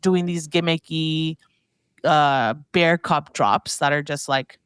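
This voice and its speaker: A woman speaking as a guest commentator in a casual podcast discussion, halting and leaning heavily on "like" as she talks. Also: sits low, smooth, dry recording, clean studio sound. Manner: halting, heavy use of "like". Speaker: guest commentator on grocery and consumer brands.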